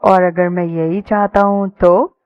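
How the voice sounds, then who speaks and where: A woman's voice: lowish voice, level tone, measured pace, natural voice. A woman voicing a sly, teasing young man in a romance audio story, playful and coaxing. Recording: dull lo-fi sound.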